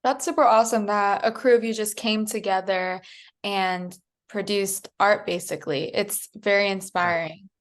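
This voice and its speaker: A woman's voice: mid-range voice, fairly even intonation, normal speed. A young woman conducting a casual interview in a polite, enthusiastic, appreciative manner.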